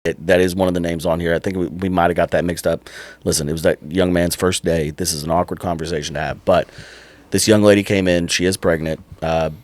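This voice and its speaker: A man playing an awkward, apologetic clinic manager on a prank phone call, halting, polite and officious. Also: low-pitched, steady tone, no room sound, lightly acted.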